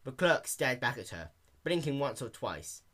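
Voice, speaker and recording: A man narrates fan fiction in an amateur, scripted reading. His voice is bright and sharp, with hugely varied intonation. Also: mid-range voice, sing-song, moderate pace.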